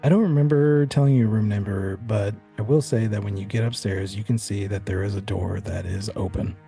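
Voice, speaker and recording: A man's voice: lowish voice, fairly quick, gravelly, warm tone, faint music behind. A man hosting and narrating a horror tabletop game as game master, with a suspenseful storytelling delivery. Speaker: game master and show host.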